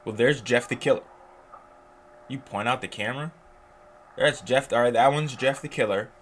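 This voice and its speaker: A man's voice: medium pitch, moderate pace. A man reading on-screen text aloud and breaking off into chatty, incredulous asides as he reacts to a video. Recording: loud background noise.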